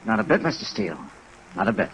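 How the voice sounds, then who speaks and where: An actor playing a wry, hard-boiled American insurance investigator, clipped and laconic, in 1950s radio detective style. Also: male voice, lowish voice, big pitch swings, quick pace, highs cut off. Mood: probing, low-key. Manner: clipped, laconic, matter-of-fact. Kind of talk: 1950s radio detective acting, first-person narration. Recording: noisy vintage 1950s radio recording.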